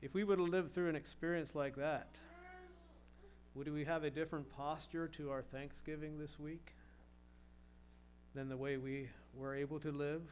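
Male preacher, middle-aged, earnest, rhetorical questions, restarts words, slightly high voice. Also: steady tone, moderate pace, pauses often, darkish tone, slight background noise. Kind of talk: sermon.

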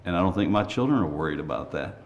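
Older male preacher, low voice, slight rasp, earnest folksy sermon delivery. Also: expressive, quick pace, lo-fi sound. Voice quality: raspy. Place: church.

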